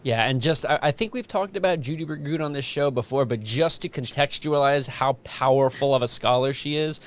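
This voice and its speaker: A man's voice: medium pitch, a little fast. A man hosting a casual interview podcast, chatting informally and explaining things as he goes. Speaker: podcast host.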